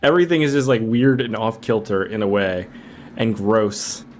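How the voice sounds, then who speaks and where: A man's voice: fairly low pitch, animated, moderate pace, a little mellow, slight background noise. A man co-hosting a podcast, chatting casually about movies in an informal, amused way with some swearing. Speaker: podcast co-host. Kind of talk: casual movie review chat. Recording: music playing behind the voice. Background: background music.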